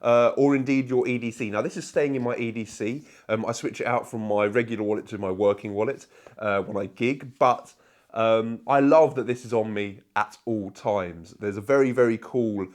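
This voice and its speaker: Brief: hoarse male vlogger, chatty and upbeat, filler-heavy, mid-pitched. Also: steady tone, moderate pace. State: hoarse from a cold. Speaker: magic product reviewer. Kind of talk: casual review vlog to camera.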